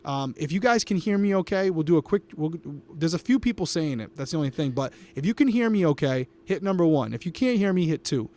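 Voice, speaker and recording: Fast-talking upbeat male co-host. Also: fairly high pitch, animated, darkish tone. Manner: upbeat, talks to the live chat. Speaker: podcast co-host. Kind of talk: casual live watch-along commentary. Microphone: podcast microphone.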